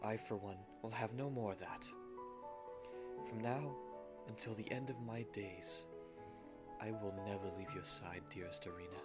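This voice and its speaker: Man acting a devoted young gentleman groom, formal and romantic, lowish pitch, clear music behind, anime fan roleplay. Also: normal speed, long pauses, natural voice. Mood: tender, devoted. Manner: formal, romantic, courteous speech.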